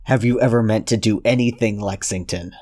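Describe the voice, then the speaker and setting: Man playing a low-voiced detective, cold, measured, cruel, quietly menacing. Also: steady tone, natural voice.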